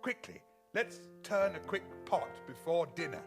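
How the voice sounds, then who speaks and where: Loyal talking horse for pantomime, played by an actor: mid-pitched, animated, flattering, with music underneath. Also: unhurried, lightly acted. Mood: encouraging. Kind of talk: British pantomime comedy.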